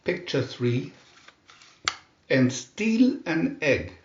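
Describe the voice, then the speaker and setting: A man narrating a scripted children's storybook in a deliberate, expressive storytelling manner. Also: medium pitch, reverberant, muffled recording, a little hiss or hum.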